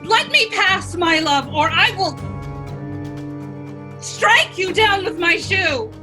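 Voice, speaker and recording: A woman's voice: very high-pitched, animated, slow, music in the background, exaggerated voice. A woman playing a fed-up, defiant fairy-tale princess in a radio comedy, exasperated and emphatic. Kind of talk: irreverent radio play acting.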